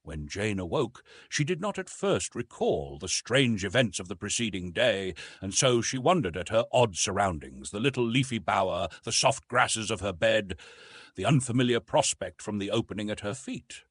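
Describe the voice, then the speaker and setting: A man narrates a classic adventure story in a measured, literary way. His pitch moves widely and expressively through each sentence, and his voice has a husky edge. The sound is dry and close. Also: expressive, no room sound. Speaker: audiobook narrator.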